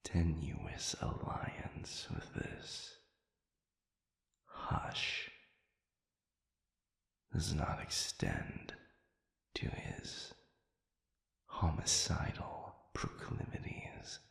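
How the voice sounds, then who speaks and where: Ancient celestial being, whispering slowly. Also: male voice, a bass voice, sing-song, exaggerated voice. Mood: formal, reassuring. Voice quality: breathy, hushed whisper. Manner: slow, formal, archaic phrasing with halting pauses. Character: ancient, solemn celestial being, weakened and searching. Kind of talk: audio roleplay acting. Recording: reverberant, echoey sound.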